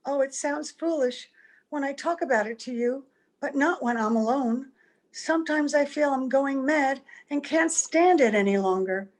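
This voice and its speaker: A woman's voice: high voice, normal speed, a little mellow, close to an everyday voice. A woman playing an anxious, affectionate young-looking wife in an old-time radio play. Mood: anxious, pleading. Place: home, over a video call.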